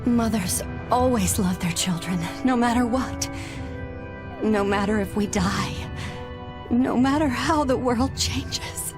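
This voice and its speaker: A proud, protective mother from a video game, with a fairly high voice. She is wary and full of feeling, with music clearly behind her. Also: slow. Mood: tearful, loving. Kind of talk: English-dubbed video game acting.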